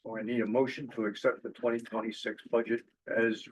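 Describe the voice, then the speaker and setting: A man chairing a formal board meeting, calling motions and votes in a brisk, procedural way. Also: mid-range voice, level tone, husky, rough recording.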